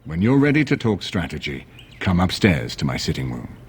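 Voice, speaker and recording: A man's voice: sits low, very animated, a little fast, a little hiss or hum. An actor playing a dignified older nobleman and strategist in a fantasy video game, speaking formally and with measure. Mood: measured, grave. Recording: game audio with music underneath.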